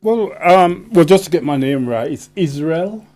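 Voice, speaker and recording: Man, a deliberate, emphatic poet guest. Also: fairly high pitch, lively intonation, darkish tone. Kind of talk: conversational radio interview.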